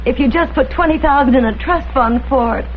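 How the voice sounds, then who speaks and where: A woman's voice: high voice, fairly quick, close to an everyday voice. An actress playing a desperate mother bargaining with criminals, pleading and melodramatic, in an old crime drama. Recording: tinny, noisy vintage broadcast audio.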